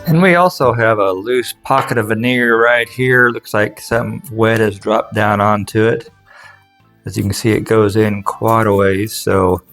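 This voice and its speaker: A man's voice: fairly low pitch, a bit bright. A man giving casual, plain-spoken how-to narration as a craftsman, explaining things step by step in a folksy way. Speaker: furniture restorer and instructor.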